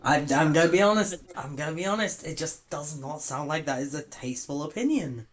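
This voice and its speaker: A young man hosting a casual podcast chat, excitable and swearing, often stumbling over his words. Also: on the high side, brisk. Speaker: podcast host.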